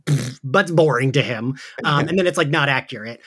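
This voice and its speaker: A man's voice: mid-range voice, normal speed, fairly bright tone, breathy edge. A man hosting a casual recap podcast, speaking in an enthusiastic, gushing way. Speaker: podcast host. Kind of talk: casual podcast chat recapping an audio drama.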